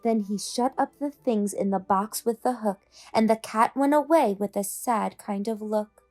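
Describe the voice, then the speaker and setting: A woman reading a rhyming children's story aloud, with expressive, measured phrasing meant for young listeners. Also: medium pitch, soft background music. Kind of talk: storybook read-aloud narration in rhyme.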